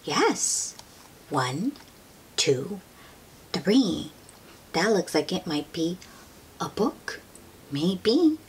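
A woman reading a picture book aloud to young children, gently drawing out her words and asking them questions in an expressive way. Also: on the low side, very slow, pauses often. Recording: steady noise behind the voice.

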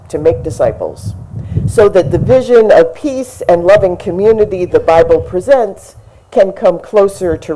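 Middle-aged woman pastor preaching outdoors at a lectern mic; unhurried and measured, with a mellow voice that rises for emphasis. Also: on the low side, poor audio. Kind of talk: sermon. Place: outdoor tent service in front of a brick church. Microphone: stand mic at a lectern, far camera.

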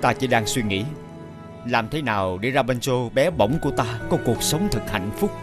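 A man playing an anxious, devoted king in an animated fairy tale, speaking in a formal, courtly way. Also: mid-range voice, moderate pace, music in the background, close to an everyday voice. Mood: composed.

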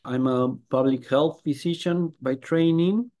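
A man's voice: fairly high pitch, measured pace, mellow. A middle-aged man with a Peruvian Spanish accent talking about his work in an interview. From Peru. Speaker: health researcher and journalist interviewee. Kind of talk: interview talk about career. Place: book-lined room, video call.

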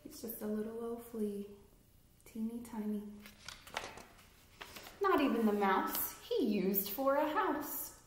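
A woman in her thirties reading a children's picture book aloud expressively, deliberately stressing rhymes and putting questions to young listeners. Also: fairly high pitch, expressive, a little hiss or hum. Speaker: read-aloud storyteller. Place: indoor room at home with fireplace mantel. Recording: echoey room.

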